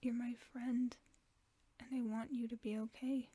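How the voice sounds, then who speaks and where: A woman as a whispering gentle werewolf friend. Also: a little high, level tone, unhurried, slightly rough, no room sound. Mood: soothing. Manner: soft soothing whisper. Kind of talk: whispered sleep-aid audio roleplay.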